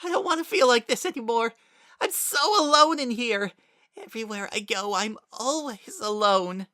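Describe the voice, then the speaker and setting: Bitter child spirit for a dramatic audiobook, acted by an adult: high, strained, taunting, close to breaking. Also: normal speed, bright, forceful tone, dry recording, natural voice. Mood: anguished. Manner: taunting and wounded. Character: bitter, mocking, anguished lost child spirit.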